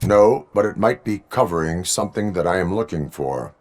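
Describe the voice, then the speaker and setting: A stoic cyborg lawman for a video game. The voice sits low and is deadpan and mechanical in manner, with stiff, formal phrasing. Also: normal speed. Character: stoic male cyborg police officer, formal and robotic.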